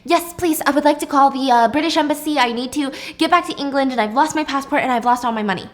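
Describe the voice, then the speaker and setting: A woman's voice: high-pitched, fast talker, natural voice. A woman voicing a desperate, frantic wife who pleads urgently and insistently for help. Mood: anxious.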